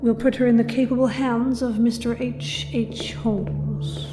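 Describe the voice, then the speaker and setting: Woman playing a coolly sinister, higher-voiced accomplice. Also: fairly even intonation, unhurried, lightly acted. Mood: coolly menacing. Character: coolly sinister female accomplice at haunted inn. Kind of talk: horror audio drama acting. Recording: echoing room under music.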